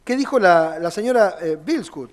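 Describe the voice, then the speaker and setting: A high-pitched man delivers a courtroom closing argument as a defense lawyer. He speaks formally and reads long passages from documents aloud. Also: animated, normal speed.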